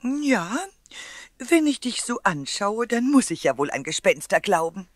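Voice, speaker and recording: Animated, husky-voiced schoolteacher. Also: female voice, medium pitch, a little slow, no echo, clean studio sound. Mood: amused. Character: good-humoured, unflappable female schoolteacher. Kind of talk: children's radio play acting.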